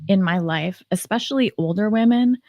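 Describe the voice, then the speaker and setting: A woman author, a guest on a talk show, speaking in a calm, peaceful, measured way about her life. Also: medium pitch, no echo. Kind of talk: reflective personal sharing.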